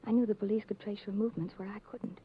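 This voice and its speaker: An actress playing an earnest young woman in desperate trouble, pleading in a breathy 1940s radio style with an American accent. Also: mid-range voice, steady tone, very fast, natural voice. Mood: desperate, confiding. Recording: muffled, noisy old radio recording.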